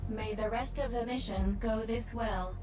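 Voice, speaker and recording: Woman voicing a high-pitched female AI mission guide, measured. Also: distant mic, over music, natural voice. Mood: calm, earnest. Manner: measured, earnest, explanatory. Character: polite female AI machine, calm mission guide. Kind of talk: scripted video game dialogue.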